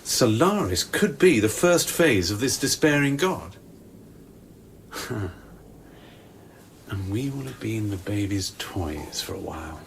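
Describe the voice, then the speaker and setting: A man's voice: fairly low pitch, very animated, takes it slowly, gravelly, long pauses, fairly bright tone, slight background noise, heavily acted. A man acting in a radio play as a wry, concerned scientist on a space station, talking in a musing, probing way. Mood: musing, amused.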